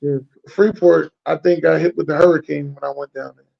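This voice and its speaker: A man's voice: fairly high pitch, steady tone, brisk, creaky, muffled recording. A man hosting a casual interview, talking in a loose, slangy way and often repeating his phrases. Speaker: host.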